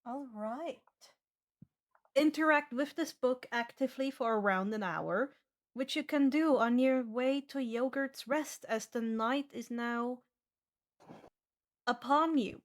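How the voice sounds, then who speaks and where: A woman's voice: high-pitched, takes it slowly. A woman running a tabletop role-playing game as game master, narrating and explaining to the players.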